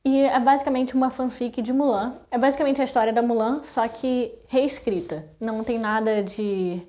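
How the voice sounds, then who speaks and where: A young woman from Brazil talking casually to her viewers, listing things in quick chatty runs. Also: on the high side, fairly even intonation, dark tone, lo-fi sound. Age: young adult. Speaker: vlogger. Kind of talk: casual talk to camera.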